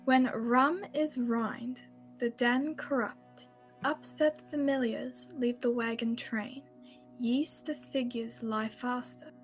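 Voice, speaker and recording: A woman playing an anxious, gentle young townswoman in radio theatre, speaking hesitantly and carefully. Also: on the high side, fairly even intonation, slow pace, faint music behind. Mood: puzzled. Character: anxious, gentle young seamstress's customer Lizzie.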